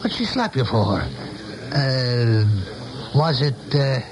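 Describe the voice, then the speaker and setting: An actor playing a protective, nosy barroom crony in a radio drama, with colloquial, rough-spoken phrasing. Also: male voice, mid-range voice, very animated, slow. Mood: nosy, puzzled. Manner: colloquial, folksy. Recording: noisy old radio broadcast.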